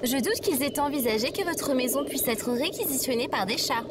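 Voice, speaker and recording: High-pitched, sarcastic AI companion acted by a woman. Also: brisk. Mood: dry. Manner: formal, deadpan sarcasm, fussy. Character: pedantic, sarcastic female AI companion. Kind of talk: comedic French audio fiction acting. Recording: very noisy background of ambient effects.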